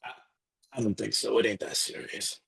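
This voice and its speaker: An actor playing an exasperated, lovestruck young man in a live dark comedy script read, halting and defensive. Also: on the low side, level tone, vocal fry, husky, full character voice. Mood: wary. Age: young adult.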